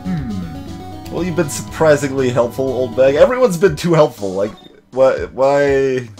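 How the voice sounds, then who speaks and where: A man doing casual let's play commentary in a mid-pitched voice. He thinks out loud as he goes, repeating phrases and reading bits of dialogue. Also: animated, a little slow, faint music behind. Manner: musing aloud, repeating phrases.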